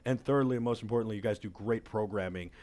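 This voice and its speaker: Hoarse, excited talk show host, man. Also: fairly low pitch, quick pace. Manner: energetic, enthusiastic promo patter. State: hoarse from a cold. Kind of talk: upbeat talk show hosting. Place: studio with city backdrop. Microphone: headset mic.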